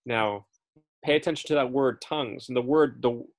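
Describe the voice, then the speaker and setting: A man's voice: mid-range voice, moderate pace, vocal fry. A man teaching a Bible study in a casual, chatty way, often checking in with his listeners and correcting himself as he goes. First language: English. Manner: frequent fillers and self-corrections.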